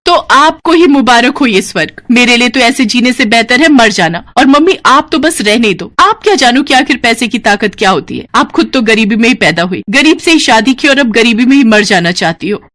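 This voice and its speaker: A woman's voice: on the high side, animated, a little fast, few pauses, a bit bright. A woman voicing a money-hungry young college girl in a Hindi cartoon story, whiny, argumentative and emphatic. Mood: defiant, indignant.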